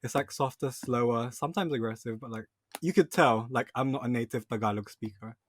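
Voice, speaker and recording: A soft-spoken man speaking as a podcast guest in casual chat. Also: medium pitch, a little fast.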